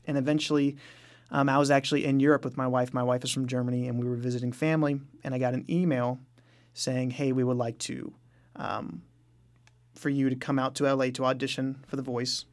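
A man's voice: medium pitch, flat delivery, brisk. A man answering interview questions as a musician guest, speaking informally and telling things as anecdotes. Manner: informal, anecdotal, quotes his own reactions. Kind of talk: casual conversational interview answers.

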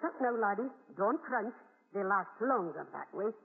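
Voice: mid-range voice, lively intonation, moderate pace, gravelly. A performer voicing a stern, pious old grandmother who speaks with indignant scolding, in 1940s radio acting style. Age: older. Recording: tinny old radio broadcast with reverb.

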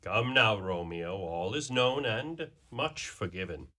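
A man's voice: on the low side, animated, takes it slowly, pushed and bright, slight background noise, exaggerated voice. An actor playing a wry, commanding noble father in a comic Shakespearean radio drama, pompous and sardonic.

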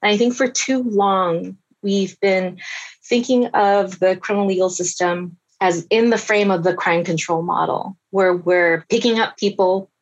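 A woman's voice: mid-range voice, steady tone, unhurried, dull audio. Earnest woman in her thirties, reflective interview guest, mid-range voice. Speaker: forensic science researcher, guest. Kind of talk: thoughtful interview answers.

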